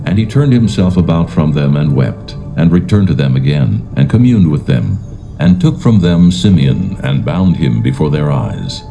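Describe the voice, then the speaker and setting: A man narrating dramatised scripture in a solemn, measured, formal manner. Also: extremely low pitch, sing-song, gravelly, mellow. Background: faint music.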